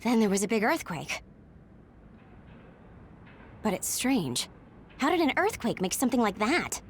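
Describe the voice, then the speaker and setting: An actress playing a calm, analytical young squad member in a video game cutscene. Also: female voice, a little high, unhurried, a little hiss or hum. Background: loud music.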